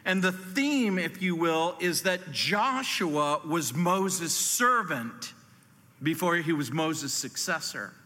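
An American man in his sixties from New Orleans, preaching as a guest pastor with deliberate pauses and folksy, dry humour. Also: fairly high pitch, animated, bright, forceful tone. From New Orleans, southern United States. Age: 60s. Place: large indoor hall. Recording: echoey hall, long reverb.

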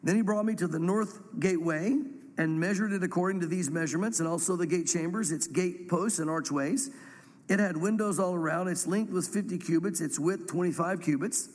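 A man's voice: high voice, a little fast, a little mellow, long reverb tail. A man, congested from a cold and losing his voice, teaching verse by verse through scripture in a church, with rambling self-deprecating asides. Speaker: pastor teaching a Bible study.

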